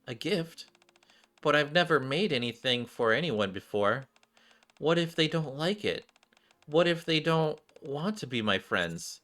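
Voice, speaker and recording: Man acting a bright, earnest shy schoolgirl. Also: fairly high pitch, expressive, a little slow, pitched above the performer's own voice. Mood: anxious, flustered. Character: shy, earnest schoolgirl who loves fashion design.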